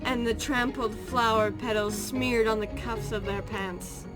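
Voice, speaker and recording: A woman narrating a story aloud in an amateur, halting way, correcting misread words as she goes. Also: on the high side, fairly even intonation, over music.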